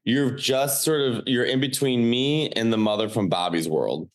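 A man's voice: mid-range voice, normal speed. A man in his late thirties from Chicago with a Chicago accent, a comedian chatting casually and rambling with lots of fillers. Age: 30s. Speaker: stand-up comedian guest. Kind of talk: casual podcast chat.

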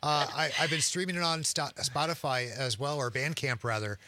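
A man's voice: on the high side, fairly even intonation, moderate pace, bright, forceful tone. A man hosting a radio interview in the studio, upbeat and enthusiastic. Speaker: radio host.

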